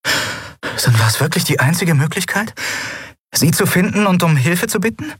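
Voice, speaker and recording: A man's voice: high voice, expressive, moderate pace, a bit bright, breathy edge, dry recording, voice pushed up, exaggerated voice. A man voicing a feisty, impatient young woman captain in an audiobook, blunt, emphatic and given to cursing. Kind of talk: audiobook character voice.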